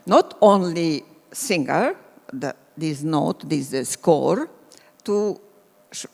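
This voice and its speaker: Halting Hungarian-accented woman in a hall. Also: sits low, expressive, creaky, a little mellow. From former Yugoslavia. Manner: halting, searching for English words, laughing nervously. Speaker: composer. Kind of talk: hesitant panel talk. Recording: reverberant hall.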